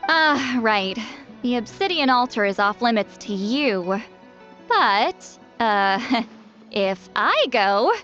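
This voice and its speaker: Brash old warrior granny for a fantasy game, blunt and boastful, high swooping delivery. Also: female voice, very animated, slow pace, fairly bright tone, full character voice. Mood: boastful, wry. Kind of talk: dramatic video game voice acting. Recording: dull game audio, music behind.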